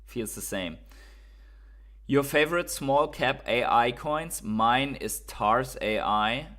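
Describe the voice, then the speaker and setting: A man's voice: medium pitch, expressive, slow pace, fairly bright tone. A man from Germany with a German accent, hosting a casual crypto livestream, reading viewer comments and thinking aloud with fillers and repeats.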